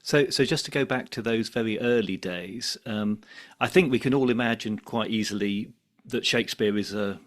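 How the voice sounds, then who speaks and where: A man speaks as the host of a conversational interview. His voice sits a little low, and he puts his points to a guest in an informal, talking style. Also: normal speed.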